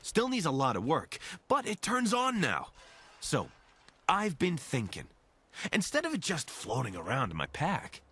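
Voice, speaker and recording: Lively, wry wasteland scavenger. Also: male voice, fairly high pitch, a little slow, slightly rough, heavily acted. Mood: wry, upbeat. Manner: chatty, dryly humorous, casual. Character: friendly, wry scavenger companion in a post-apocalyptic wasteland. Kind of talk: video game companion dialogue.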